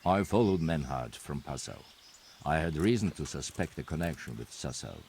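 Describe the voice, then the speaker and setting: An actor playing a stern knight who calmly reports on forged coins in a video game cutscene, speaking bluntly and without fuss. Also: male voice, extremely low pitch, moderate pace, slight background noise. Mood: terse report. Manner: blunt, matter-of-fact reporting. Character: grim male knight investigating counterfeiters.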